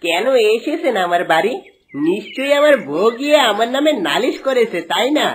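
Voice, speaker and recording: নারীকণ্ঠে ওঠানামা গলার ধূর্ত গ্রাম্য স্বামী. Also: female voice, fairly high pitch, sing-song, normal speed, slight background noise. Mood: indignant, wheedling. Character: wheedling, two-faced, cruel village husband, adult man.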